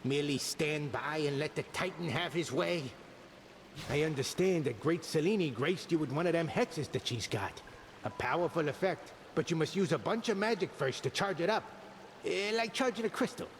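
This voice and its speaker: A man's voice: fairly high pitch, lively intonation, moderate pace, slight background noise. A man voicing a folksy military commander in a video game, with a colloquial way of talking. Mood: earnest, grumbling. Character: folksy, griping soldierly commander.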